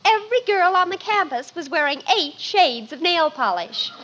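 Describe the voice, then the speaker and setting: A woman's voice: extremely high pitch, sing-song, moderate pace, fairly bright tone, heavily acted. A woman playing a self-important, gushing American teenage schoolgirl in a 1950s radio sitcom. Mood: excited, boastful. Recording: noisy old radio recording.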